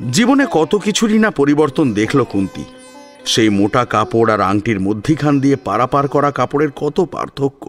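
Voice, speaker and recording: A male storyteller narrates a scripted tale. His delivery is animated, with a mid-pitched voice that moves freely. Instrumental music with plucked strings plays faintly behind him.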